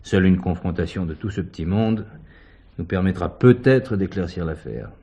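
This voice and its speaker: An actor playing an authoritative, level-headed police superintendent, measured and deliberate, in a French crime drama. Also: male voice, low voice, noisy background. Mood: pensive.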